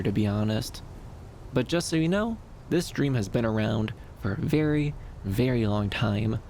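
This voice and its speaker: A man playing a gentle, shy, dreamy young femboy in an ASMR roleplay, soft-spoken and hesitant, soothing, with lilting upturns. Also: medium pitch, gravelly, a little hiss or hum.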